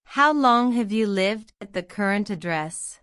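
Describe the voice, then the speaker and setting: Woman, practice-drill reader, repeats every line, unhurried, crisp and dry. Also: mid-range voice, animated, fairly bright tone, no room sound. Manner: reads each line twice, slow and deliberate. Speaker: citizenship test practice narrator. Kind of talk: slow repeated question-and-answer drill.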